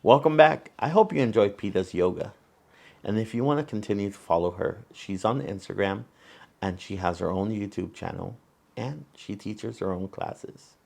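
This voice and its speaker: A man's voice: on the low side, moderate pace, vocal fry, darkish tone. A man hosting a children's storytime vlog, thanking a guest and addressing his viewers.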